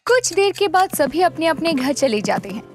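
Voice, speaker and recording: A woman reads a scripted, dramatic story as narrator. Her voice is high and very animated in pitch, set over background music. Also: normal speed.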